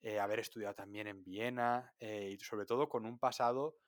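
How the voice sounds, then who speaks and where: A man from Spain with a Spanish accent hosting an interview podcast, calmly affirming and thanking his guest. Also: lowish voice, moderate pace, no room sound, clean studio sound. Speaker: podcast host. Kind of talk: interview host.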